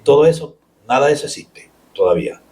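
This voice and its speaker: A man with a Puerto Rican accent, a friar answering interview questions in a measured way, with pauses and restarts. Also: mid-range voice, expressive, a little mellow, recorded from a distance. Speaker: Capuchin friar and guest.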